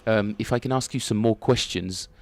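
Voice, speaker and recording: A man with a French accent, chatting casually and self-mockingly with viewers as he streams his bass practice, often chuckling. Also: normal speed, dry recording. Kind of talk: casual livestream chat.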